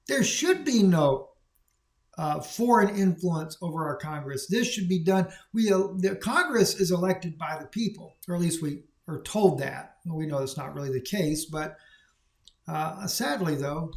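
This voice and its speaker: A man giving rambling, opinionated solo commentary on the news, emphatic and full of fillers. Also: high-pitched. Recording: echoey room recording.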